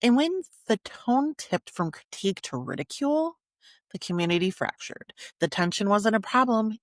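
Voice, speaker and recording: A woman hosting a podcast, reading scripted documentary narration with measured, dramatic phrasing and pauses for emphasis. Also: medium pitch, dry recording, studio quality. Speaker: podcast host.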